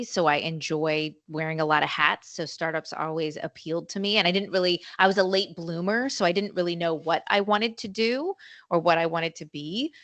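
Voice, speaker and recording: A woman in her forties, telling her story as a podcast guest in a chatty, animated way. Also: fairly low pitch, no echo. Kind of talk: casual storytelling interview.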